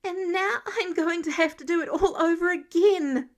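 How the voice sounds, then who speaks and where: A high-voiced teenage boy intern as a female reader plays him, exhausted and exasperated. He is dramatic and indignant, with a sarcastic edge, in an audiobook story. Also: normal speed. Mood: seething.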